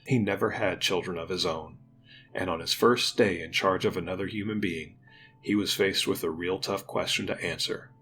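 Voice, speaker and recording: A man's voice: deep voice, moderate pace, a bit bright, faint music behind. A man reading scripted, dramatic story narration for an audio drama.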